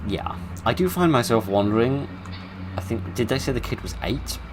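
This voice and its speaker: A man's voice: mid-range voice, animated, moderate pace, background noise. A British man giving casual commentary on a game and reading its story aloud, with dry, tongue-in-cheek humour. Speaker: gamer narrating a horror game playthrough.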